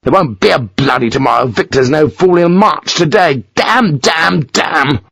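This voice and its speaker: A man's voice: high-pitched, fairly even intonation, fast talker, a bit bright, dry recording, studio quality, pitched above the performer's own voice. A man voicing a furious aristocratic British officer who rants and curses in a raised, strained voice. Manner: ranting, explosive, cursing.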